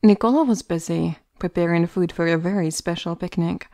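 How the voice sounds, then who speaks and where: Lower-pitched woman narrating a story. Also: a little fast, mellow, no echo, studio quality.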